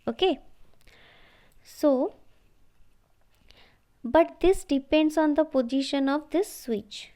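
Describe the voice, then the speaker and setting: A woman giving an explanatory slide lecture, halting and repeating phrases as she goes. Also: sits high, warm tone. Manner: halting, repeats phrases, often says okay.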